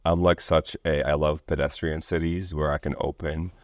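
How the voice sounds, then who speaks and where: A man's voice: very deep voice, little pitch movement, moderate pace, no room sound. A man from Lebanon speaking as an interview guest in a casual, rambling way, full of filler words like "like" and "you know".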